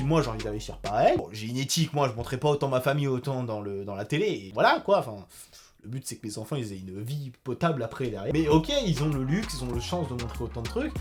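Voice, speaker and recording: A young man rattles through a reaction commentary at a fast clip, voice sitting high and lively. He swings from loud, mocking outbursts to muttered asides. Faint music runs underneath. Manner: animated, sarcastic, jumps between loud outbursts and mumbled asides. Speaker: YouTube commentator.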